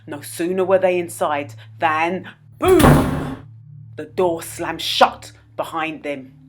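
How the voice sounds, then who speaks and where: A woman narrating a children's story as a storyteller, with dramatic, expressive delivery. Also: on the low side, takes it slowly, loud music behind the voice. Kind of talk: children's read-aloud narration.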